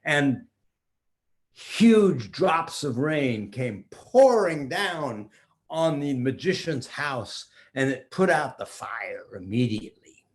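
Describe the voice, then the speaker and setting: An older man improvising a children's story like a grandpa, theatrical, with pauses and restarts. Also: medium pitch, sing-song.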